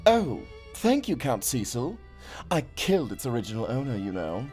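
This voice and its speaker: A man's voice: mid-range voice, huge pitch swings, moderate pace, darkish tone. Man acting courtly vampire, breezy, politely menacing, swooping intonation, audio drama, light music. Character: suave, courtly vampire guest with cheerful menace. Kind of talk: cinematic audio roleplay acting.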